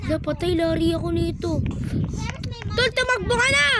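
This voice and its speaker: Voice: very high voice, expressive, moderate pace, very noisy. An exclamatory, slangy voice acting out an improvised horror skit as a series of phone calls, with music behind.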